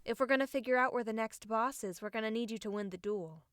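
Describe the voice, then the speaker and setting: A woman's voice: on the high side, fast, a bit bright, no room sound, studio quality, close to an everyday voice. A woman playing a serious, strategic young female fighter in an audiobook, explaining things matter-of-factly. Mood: firm, instructive. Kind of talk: audiobook character acting.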